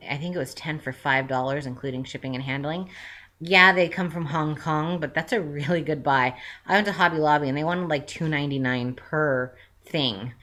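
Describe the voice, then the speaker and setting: A woman's voice: deep voice, a bit bright. A woman in her late twenties giving a casual, rambling update to her viewers, with frequent "um" fillers and self-corrections. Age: 20s. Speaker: vlogger.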